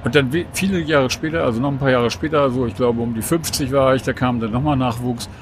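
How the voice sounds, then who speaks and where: A man's voice: mid-range voice, fast, a little hiss or hum. A man in his sixties, a guest, talking candidly and thoughtfully about late fatherhood in casual conversation on a train. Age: 60s. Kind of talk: casual chat.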